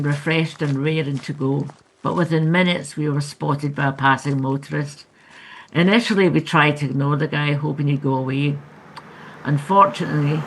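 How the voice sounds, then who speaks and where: A woman's voice: sits low, low-quality recording. Woman reading memoir over background noise. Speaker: author reading from own book. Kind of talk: scripted memoir narration, audiobook reading.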